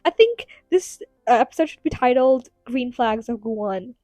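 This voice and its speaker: A woman's voice: a little high, expressive, normal speed, darkish tone, no room sound. A woman hosting a casual solo podcast, chatty and giggly, rambling with many fillers, her voice strained and tired. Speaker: podcast host.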